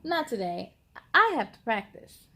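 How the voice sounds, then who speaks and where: A woman's voice: a little high, big pitch swings, measured pace, sharp tone, voice pushed down, heavily acted. A woman voicing an earnest, determined young boy with plain-spoken delivery in a children's storybook. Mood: firm, earnest. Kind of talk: children's storybook read-aloud.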